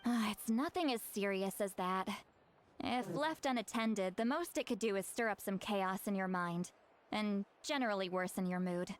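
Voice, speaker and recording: A woman's voice: medium pitch, moderate pace, close to an everyday voice. Actress playing a solemn young shaman woman. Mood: brisk, reassuring. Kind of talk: scripted video game voice acting.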